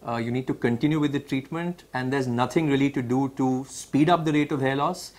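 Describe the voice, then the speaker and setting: A man with an Indian accent hosting a medical question-and-answer session, explaining and restating points clearly for viewers. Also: mid-range voice, fairly even intonation, fairly quick. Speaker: webinar host. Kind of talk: moderating a medical Q&A, reading and recapping.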